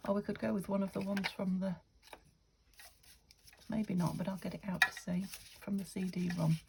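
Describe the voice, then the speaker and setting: A woman from the UK chatting through a craft-along, thinking aloud and often dropping to a half-whisper, her voice a little croaky. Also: mid-range voice, level tone, normal speed, very noisy. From United Kingdom. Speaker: craft vlogger. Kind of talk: casual craft-along chat.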